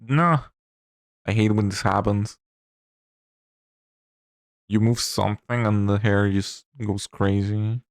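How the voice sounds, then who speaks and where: A man's voice: fairly low pitch, steady tone, slow, pauses often, no room sound, studio quality. A man from Chile with a Chilean Spanish accent, a native Spanish speaker chatting casually on a livestream, musing aloud while he works and answers chat. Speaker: streamer.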